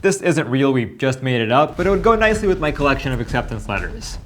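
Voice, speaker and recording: Young guy vlog host, upbeat and jokey, quiet music under him. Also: medium pitch, very animated, fast. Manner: upbeat and joking. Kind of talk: scripted narration and casual vlog chat.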